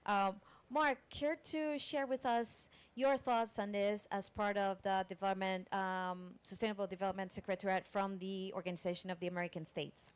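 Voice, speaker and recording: A woman's voice: mid-range voice, steady tone, normal speed, hardly any pauses, clean tone. A woman from Costa Rica moderating a conference panel, a little hesitant with fillers.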